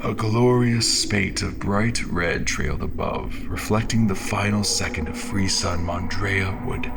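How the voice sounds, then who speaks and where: Man acting a very deep, gravelly, haunted fantasy general. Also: noisy background, heavily acted. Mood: grim, solemn. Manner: solemn, brooding, theatrical, halting, drifting into whispers. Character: haunted, guilt-ridden male fantasy general turned dark champion. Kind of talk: dramatic scripted first-person fantasy narration. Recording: background music under the voice.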